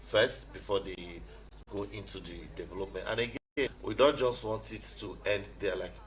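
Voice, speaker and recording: A man with a Nigerian accent answers as a radio guest in a deep, low voice. His delivery is conversational, with frequent little "you know" asides. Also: normal speed, rough recording. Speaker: radio guest, competition organiser. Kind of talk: conversational interview talk.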